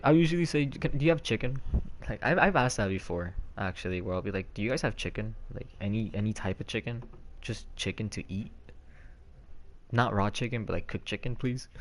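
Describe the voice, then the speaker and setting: A man's voice: on the low side, normal speed. A man streaming from home on a headset mic, rambling in casual chat with many fillers and restarts.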